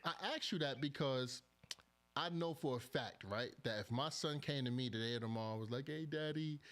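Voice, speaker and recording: Young man, podcast host, casual joking banter, slangy, laughs often, mid-pitched voice. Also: fairly quick, a bit bright.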